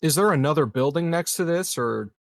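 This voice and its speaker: A man playing a tabletop game, casually thinking aloud in a halting way. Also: fairly high pitch, lively intonation, creaky, dry recording. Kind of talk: casual planning discussion.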